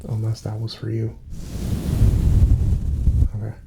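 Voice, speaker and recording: A man speaking softly and breathily, often near a whisper, in a gentle, reassuring ASMR doctor roleplay. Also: on the low side, completely flat delivery, heavy background noise. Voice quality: soft-spoken, breathy, often dropping to a whisper. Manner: gentle, reassuring, unhurried murmuring to the listener. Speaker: ASMR performer playing a doctor. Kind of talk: ASMR medical exam roleplay.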